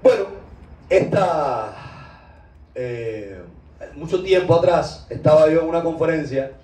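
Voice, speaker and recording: Cuban male preacher, high voice, fervent and conversational, roomy far-off recording. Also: animated, slow, dark tone. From Cuba. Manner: impassioned, with loose conversational asides. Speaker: spiritual teacher. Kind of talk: informal sermon. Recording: roomy echo, distant mic.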